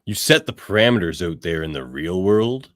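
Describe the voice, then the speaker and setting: A man playing a gruff, sardonic, defiant loner brawler with a blunt, taunting manner in a radio theatre read. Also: low-pitched, animated, moderate pace, dry recording, studio quality.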